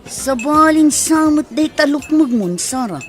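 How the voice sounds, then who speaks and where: A woman's voice: high-pitched, expressive, a little slow, faint music behind. A woman with an Ilocano accent playing a blunt, suspicious older village relative in a radio comedy drama. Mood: scolding, suspicious.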